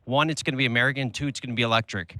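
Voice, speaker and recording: A man's voice: mid-range voice, fairly even intonation, very fast, bright, forceful tone, no echo. A man making a long, earnest, rambling point in a group debate.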